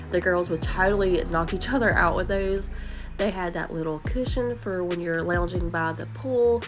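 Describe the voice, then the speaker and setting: A woman in her thirties, stuffy from a cold, chatting upbeat about products in a casual shopping vlog voice-over. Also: lowish voice. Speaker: vlogger.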